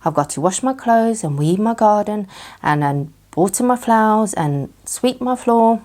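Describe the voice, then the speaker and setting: A woman plays a male storybook rabbit, fussy and always busy. His brisk talk moves up and down in lively pitch. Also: close to an everyday voice. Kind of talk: children's bedtime storybook reading.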